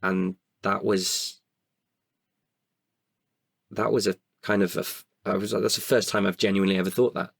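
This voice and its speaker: A man's voice: sits low, little pitch movement, normal speed, gravelly, pauses often, husky, dry recording, studio quality. A British man talking reflectively in an interview, candid and thoughtful, often hesitant as he searches for words. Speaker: podcast guest, performer.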